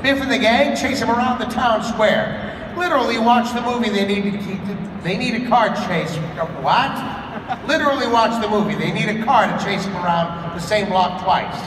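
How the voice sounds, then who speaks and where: A man's voice: high-pitched, moderate pace. A man narrating a live comedic script reading in a convention hall. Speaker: narrator of a table read. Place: large indoor convention hall. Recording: echoey noisy convention hall, far from mic.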